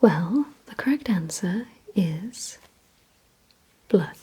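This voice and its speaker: A woman leads an ASMR roleplay in an extremely breathy whisper, right up at the microphone. Her tone is dark and mellow. She gives instructions very slowly and deliberately, repeating words and leaving pauses between them. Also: fairly low pitch, animated. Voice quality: breathy whisper, mostly unvoiced. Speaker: ASMR creator leading a word-guessing game. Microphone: close ASMR microphone.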